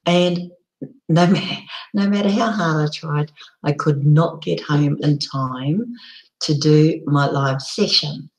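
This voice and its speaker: Woman, hoarse croaky voice, slow rambling live-stream chat, echoey room. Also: low voice. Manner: rambling, lots of ums and restarts.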